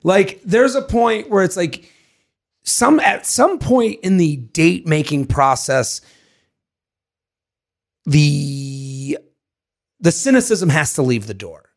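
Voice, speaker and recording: A man co-hosting a casual comedic talk show, commenting in a blunt, teasing and animated way. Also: a little high, slow, creaky, pauses often. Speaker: podcast co-host.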